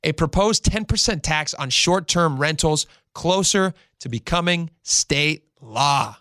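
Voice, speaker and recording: A man's voice: a little high, animated, measured pace, pushed and bright. A man in his forties hosting a radio talk show, chatting casually in a rambling way with lots of fillers. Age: 40s. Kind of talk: casual chat.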